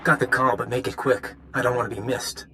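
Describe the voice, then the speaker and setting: An actor playing a cocky, sly conspirator who taunts casually in a video game. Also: male voice, medium pitch, steady tone, a little fast, pushed and bright, soft background music. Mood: impatient. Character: smug, sardonic male spy conspirator, cocky and taunting.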